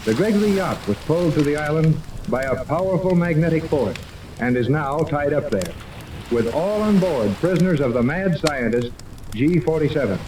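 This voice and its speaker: A man's voice: fairly high pitch, animated, moderate pace, close to an everyday voice. Echoey old-time radio announcer, a man. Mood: dramatic, solemn. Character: dramatic male serial announcer recapping the adventure. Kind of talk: 1930s radio scripted narration. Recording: echoey, distant, noisy old 1930s radio.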